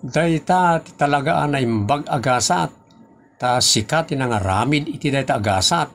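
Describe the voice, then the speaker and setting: A man's voice: mid-range voice, animated, moderate pace, slight background noise, lightly acted. A man playing an outspoken, teasing friend, blunt and bantering, in a comedy audio drama.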